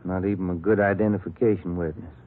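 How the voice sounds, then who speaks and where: A man playing a steady, plain-spoken, polite police detective in an old-time radio drama. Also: sits low, fast talker, natural voice. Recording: muffled, noisy old radio recording.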